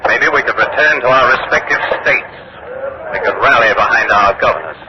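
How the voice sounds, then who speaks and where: An actor playing a proud young Confederate artillery general in old-time radio drama, formal and oratorical in manner. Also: male voice, mid-range voice, moderate pace, natural voice. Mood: defiant, impassioned. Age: young adult. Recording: muffled, very noisy old radio recording.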